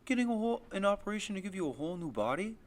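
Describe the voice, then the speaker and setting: A man's voice: high voice, very animated, very fast, pitched above the performer's own voice. A man voicing an earnest, worried teenage boy in an audiobook, asking plain, puzzled questions. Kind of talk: audiobook character voice.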